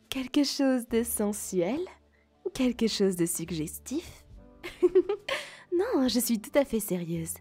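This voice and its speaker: A woman's voice: medium pitch, warm tone, husky. A woman voicing a tall, confident, flirtatious female werewolf in an ASMR roleplay, seductive and often half-whispering. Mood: teasing, playful. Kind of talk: French ASMR roleplay acting.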